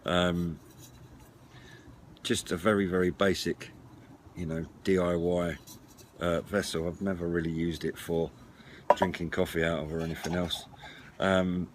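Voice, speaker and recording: Male, middle-aged, deep register, hesitant informal presenter style, noisy outdoor camera recording. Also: level tone, a little slow, long pauses. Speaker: presenter of a CB radio and camping gear channel. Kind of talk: casual product walk-through to camera. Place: outdoors at a wooden garden table by a house. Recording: clear background noise, outdoor clatter of handled gear.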